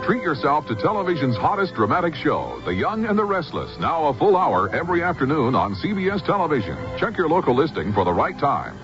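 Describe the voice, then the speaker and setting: A man's voice: on the high side, sing-song, brisk, few pauses, music in the background. A man reading a 1970s broadcast promo with polished, emphatic announcer delivery. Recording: dull old radio recording.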